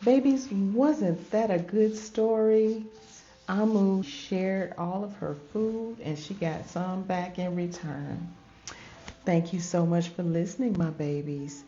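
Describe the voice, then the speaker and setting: A woman reading a children's story aloud as host, with dramatic, drawn-out phrasing in a grandmotherly manner. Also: medium pitch, mellow. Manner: addresses listeners as babies, grandmotherly. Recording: dull lo-fi audio with music behind. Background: background music.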